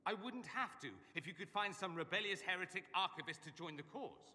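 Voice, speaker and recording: A man's voice: high voice, animated, brisk, sharp tone, heavily acted. A man playing a witty, haughty, cultured mage scholar in a video game, with a theatrical, sardonic and articulate delivery. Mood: sardonic, exasperated. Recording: long echoing hall reverb.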